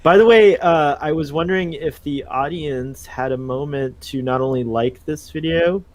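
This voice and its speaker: A man's voice: a little high, normal speed. A man hosting a casual chat show in a light-hearted, inquisitive manner. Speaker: show host.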